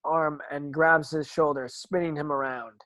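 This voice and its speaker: A man's voice: on the high side, fairly even intonation, unhurried, a bit bright, dry recording. A man narrating screen action from a script as a stage directions reader, now and then stumbling over words.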